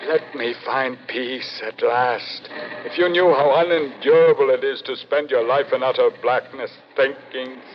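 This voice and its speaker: An actor playing the living severed head of a financier, weary and begging to die, in slow, pleading 1940s radio drama style. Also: male voice, medium pitch, natural voice. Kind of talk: 1940s radio suspense acting. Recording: dull old radio recording, faint noise.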